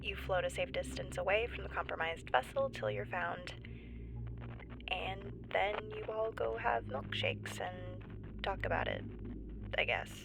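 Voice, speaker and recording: A woman playing a nervous, wry stranded geologist in a sci-fi audio drama, halting and breathy, often near a whisper. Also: mid-range voice, sing-song, music in the background, exaggerated voice, low-quality recording. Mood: anxious, wry. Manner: halting, awkward, wryly understated. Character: stranded woman, nervous civilian geologist keeping an emergency log. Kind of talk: found-footage sci-fi audio drama monologue.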